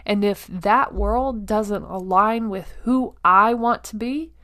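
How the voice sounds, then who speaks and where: A woman hosting a motivational monologue, speaking directly to the listener with emphatic phrasing, deliberate pauses, repetition and rhetorical check-ins. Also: mid-range voice, pushed and bright. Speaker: podcast host.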